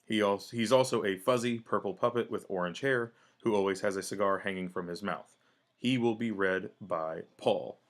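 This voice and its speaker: Host reading stage directions; man, lowish pitch, brisk and plain. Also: fairly bright tone. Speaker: host and narrator of a table read.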